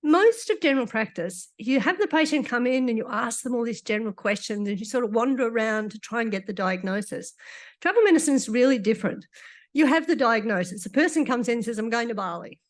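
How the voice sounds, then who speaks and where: A woman lecturing to a room of doctors, her voice slightly croaky from recent illness. She speaks in a fairly high register, relaxed and conversational, slipping in dry little asides as she walks through her slides. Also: brisk. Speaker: doctor giving a conference talk. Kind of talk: conference lecture.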